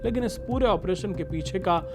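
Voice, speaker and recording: A man narrating a scripted history story in an emphatic, measured, dramatic storytelling manner. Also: high voice. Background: background music.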